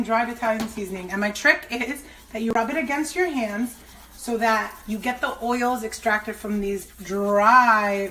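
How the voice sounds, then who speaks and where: A woman's voice: fairly high pitch, fairly bright tone, low-quality recording. A woman hosting a cooking show, chatting and joking with viewers as she cooks in a kitchen. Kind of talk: casual cooking demonstration. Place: kitchen by the stove. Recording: frying sizzle noise in a kitchen.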